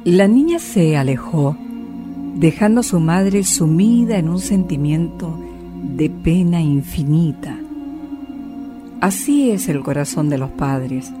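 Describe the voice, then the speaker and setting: A woman's voice: deep voice, animated, slow, over music. A woman narrating a radio drama as a solemn, sentimental storyteller.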